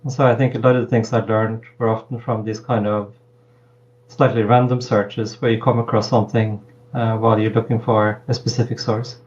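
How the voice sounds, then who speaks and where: A middle-aged man from Norway, a political science professor, answering interview questions in a measured, hesitant way with many pauses. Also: fairly low pitch, little pitch movement, a little mellow, lo-fi sound. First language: Norwegian. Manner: measured, hesitant with frequent ums.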